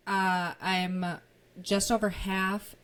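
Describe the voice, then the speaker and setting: A woman's voice: medium pitch, flat delivery, fairly bright tone, smooth. Earnest woman chatting on a podcast. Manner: earnest, enthusiastic, halting with fillers. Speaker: podcast co-host. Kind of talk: casual chat.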